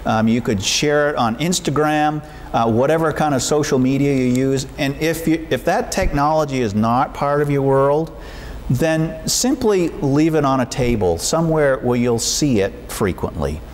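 Measured male pastor with background noise. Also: medium pitch. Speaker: pastor leading a church service. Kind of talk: informal sermon and prayer. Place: church sanctuary, at a wooden pulpit.

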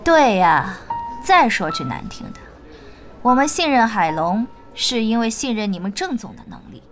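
A woman playing a scornful, sharp-tongued company shareholder in an audio drama, challenging and critical. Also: on the high side, sing-song, moderate pace, soft background music. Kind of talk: Chinese audio drama acting.

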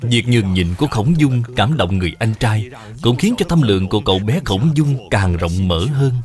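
A man's voice: on the low side, animated, normal speed, a little mellow. A man reading scripted moral storytelling as a narrator.

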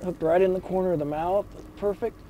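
High-voiced man calmly coaching on a boat. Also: a little fast, highs cut off. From Oregon, United States. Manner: calm, patient instructions. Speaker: fishing guide. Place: open boat on a river, outdoors. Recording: outdoor noise from boat and water.

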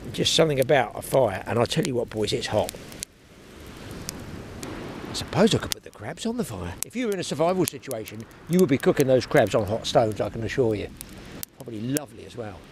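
A man's voice: medium pitch, gravelly. A man in his sixties, a fishing vlogger chatting to a close-held camera on an open shingle beach, rambling and grumbling with dry humour. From England. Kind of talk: casual chat to camera. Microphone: action camera held close. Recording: outdoor beach noise behind.

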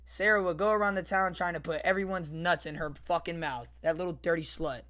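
Young adult man, livestreamer, animated, foul-mouthed, slangy, repetitive phrasing, close desk mic in a bedroom. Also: fairly high pitch, a little fast. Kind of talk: casual livestream read-aloud with commentary. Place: small bedroom, indoors. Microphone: close desk microphone with headset.

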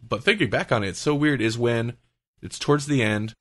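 A man's voice: medium pitch, a little fast, a bit bright, no echo. A man hosting a podcast, talking casually in a rambling, conversational way as he retells things. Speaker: podcast host.

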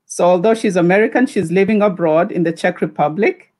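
A woman moderating a video-call conference, introducing the next speaker in an informal way with pauses. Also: on the low side, darkish tone.

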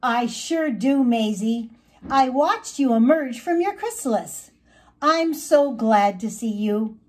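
A woman voicing a young male monarch butterfly, an earnest and caring friend, in an expressive storybook manner. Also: on the high side, slow, rough recording. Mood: glad.

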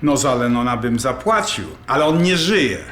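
A man's voice: medium pitch, lively intonation, moderate pace, fairly bright tone, natural voice. A man playing a grumpy, disapproving middle-aged father, blunt and exasperated, in an audio drama. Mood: sarcastic. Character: grumpy, stern, disapproving father of adult daughter. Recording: echoey room, faint noise.

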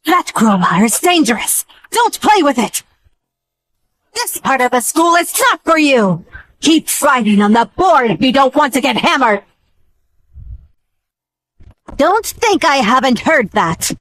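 Scary strict nun from a horror game, raspy voice, slow menacing delivery, scolding and fanatical. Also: female voice, high-pitched, sing-song, fairly bright tone, heavily acted. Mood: stern threatening. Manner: scolding, threatening, theatrical. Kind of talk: horror video game character acting.

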